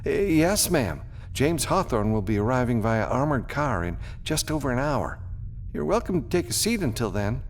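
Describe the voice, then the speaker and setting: A male narrator plays a starstruck deputy captain for an audiobook. The voice is lifted high and sounds nervous. He is deferential and eager, tripping over his words in a flustered stammer. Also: very animated, moderate pace, slight background noise. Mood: eager, formal. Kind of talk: audiobook character voice.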